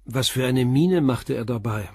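A man's voice: medium pitch, normal speed, darkish tone, breathy edge, no room sound, studio quality. An actor playing a stern, probing police inspector in a radio drama, pressing his questions bluntly. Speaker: police commissioner.

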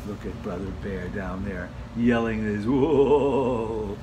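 An older man reading a children's picture book aloud in an expressive storytelling style, dropping to a hush for the spooky parts. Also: lowish voice, moderate pace. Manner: gentle, expressive storytelling with hushed spooky passages. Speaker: storybook reader. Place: home room, indoors. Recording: home recording with steady hiss.

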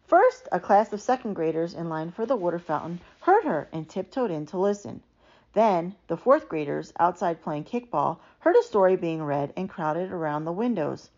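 A woman giving an animated, expressive read-aloud of a children's picture book. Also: lowish voice, normal speed. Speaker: storybook reader. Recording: dull, lo-fi sound.

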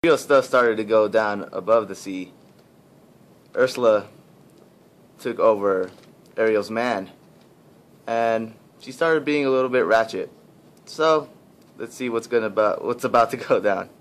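A man's voice: a little slow, long pauses. A man narrating an amateur puppet show in a casual, slangy storytelling style. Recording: faint noise and rumble, amateur recording.

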